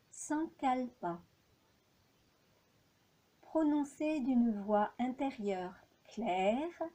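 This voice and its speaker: A woman leading a guided yoga relaxation as an instructor, speaking calmly and in measured phrases with long pauses. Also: a little high, poor audio.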